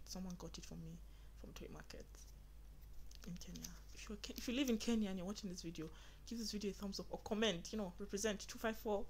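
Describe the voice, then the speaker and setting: A young woman with a Nigerian accent, chatting earnestly to viewers in a casual vlog from her bedroom. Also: medium pitch. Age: young adult. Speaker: vlogger. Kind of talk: casual get-to-know-me vlog. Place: small bedroom indoors.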